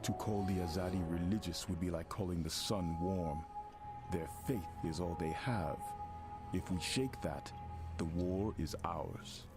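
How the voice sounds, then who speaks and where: A man voicing a grave, scornful rebel scout in a fantasy game, speaking in solemn, measured, formal phrases. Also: sits low, over music.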